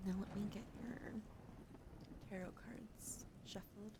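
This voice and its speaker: A woman streamer giving a gentle tarot reading, often dropping into a whisper while she greets chat. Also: medium pitch, fairly even intonation, slow pace.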